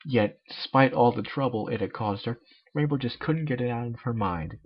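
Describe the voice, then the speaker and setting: A man's voice: medium pitch, normal speed, breathy edge. A man reading a story aloud as an amateur, with sarcastic, joking commentary. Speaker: amateur fanfiction reader. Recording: dull lo-fi home recording.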